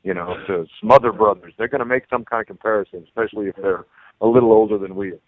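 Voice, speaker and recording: A man's voice: lowish voice, fast. An easygoing man about to turn forty chats as a comedian guest, his talk loose and rambling. He comes through a narrow, tinny phone line. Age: 30s. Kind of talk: casual chat.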